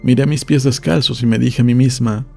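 Gravelly, mellow male audiobook narrator. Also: mid-range voice, steady tone, brisk, faint music behind. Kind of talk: scripted literary narration.

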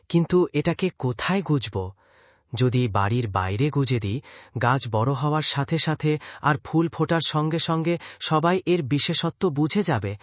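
A man's voice: medium pitch, dry recording, lightly acted. Guy doing a humble earnest gardener in a cartoon story, mid voice, really dry recording. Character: humble, hopeful young gardener, earnest man. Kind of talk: dubbed cartoon moral-tale acting.

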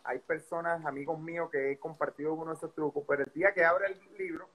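A middle-aged man with a Venezuelan Spanish accent, a baseball coach as guest, recounting his career in a relaxed, rambling way over a video call. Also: fairly high pitch, fast, slight background noise, low-quality recording. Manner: relaxed storytelling with many fillers and restarts. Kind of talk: casual interview storytelling. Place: indoor room by a window. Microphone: video call webcam.

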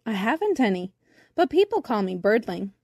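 A woman's voice: medium pitch, big pitch swings, moderate pace, a little mellow, no room sound, clean studio sound. A woman voicing a small, naive, plucky boy with eager questions in a children's storybook. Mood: hopeful.

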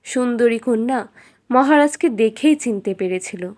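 A woman's voice: a little high, lively intonation, unhurried, darkish tone. A woman reading a scripted fairy tale as the storyteller of a cartoon.

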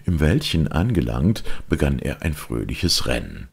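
A man narrating a children's audiobook in an expressive, theatrical storytelling style. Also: rumbling low, unhurried, creaky, slightly rough.

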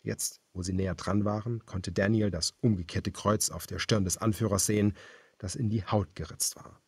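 Man, audiobook narration, lowish pitch, husky, grave, measured, dramatic, dry studio-like sound. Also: steady tone, no room sound. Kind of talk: scripted dramatic narration of a thriller.

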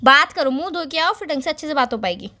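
Young woman, very high voice, quick delivery, insistent, casual couple's phone chat. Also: animated, sharp tone. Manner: insistent, nagging. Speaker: girlfriend on a phone call.

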